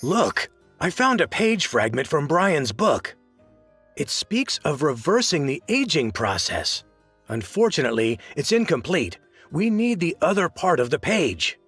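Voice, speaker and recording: Earnest, high-pitched detective. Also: male voice, sing-song, unhurried, a bit bright, soft background music, exaggerated voice. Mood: focused. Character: earnest male detective, resolute and businesslike. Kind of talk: video game cutscene acting.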